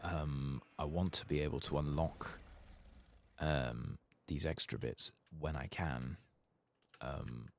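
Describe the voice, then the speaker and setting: A man softly narrating a video game playthrough in ASMR style, murmuring and musing hesitantly to himself as he plays. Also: extremely low pitch, steady tone, takes it slowly. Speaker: gaming channel host. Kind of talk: soft-spoken ASMR game playthrough commentary.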